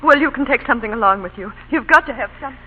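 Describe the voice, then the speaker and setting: A woman's voice: a little high, expressive, racing, breathy edge. An actress playing an anxious, devoted wife and mother, earnest and emotional, in a vintage radio drama. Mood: worried. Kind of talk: 1930s-40s radio drama acting. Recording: tinny, faintly noisy old radio broadcast.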